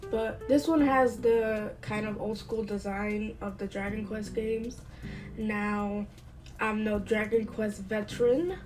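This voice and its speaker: Congested, chatty woman with a high voice. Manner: chatty and playful, with light asides. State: congested from a cold, a bit nasal. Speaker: host of a gaming channel. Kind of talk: casual video game review.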